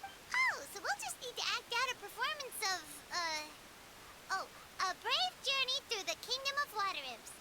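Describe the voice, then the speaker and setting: A woman voicing a tiny, chirpy, childlike fairy companion in a video game, squeaky and exclamatory. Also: very animated, moderate pace, very noisy. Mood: eager. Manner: refers to self in third person, exclamatory. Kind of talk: animated video game cutscene acting.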